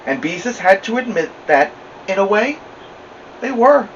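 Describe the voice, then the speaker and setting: Animated man reading a storybook aloud. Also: high-pitched, normal speed. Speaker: storybook reader. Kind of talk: expressive read-aloud narration for children. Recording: distant mic, slightly dull, faint noise.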